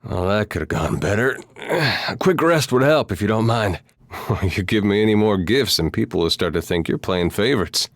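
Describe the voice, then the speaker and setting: A man's voice: on the low side, lively intonation, moderate pace, no room sound, clean studio sound. A man voicing an easygoing, wry farmer turned adventurer for a video game, with a folksy, drily humorous way of talking. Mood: relaxed, amused.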